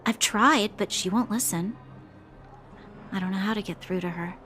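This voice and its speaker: An actress playing an earnest, dutiful young female officer in a video game, speaking in a measured, formal, reflective way. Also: medium pitch, pushed and bright, slight background noise, lightly acted. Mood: conflicted, sombre. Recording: faint music underneath.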